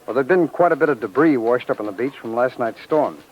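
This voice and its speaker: An actor playing a businesslike investigator in a 1950s radio crime drama, reporting in a clipped, matter-of-fact way. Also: male voice, medium pitch, animated, a little fast, dull audio, close to an everyday voice. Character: brisk businesslike male federal investigator giving case reports. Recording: noisy old radio recording.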